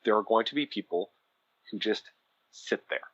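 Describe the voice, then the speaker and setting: Thoughtful low-voiced guest, a man, dull dry sound. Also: normal speed, husky, no room sound. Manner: thoughtful, explaining with repeated restarts. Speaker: guest, audio drama writer and producer. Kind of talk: casual interview chat.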